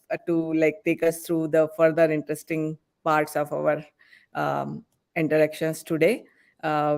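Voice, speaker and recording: Even-toned woman hosting, halting delivery. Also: sits low. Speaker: podcast host introducing guests. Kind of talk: hosting an online panel discussion.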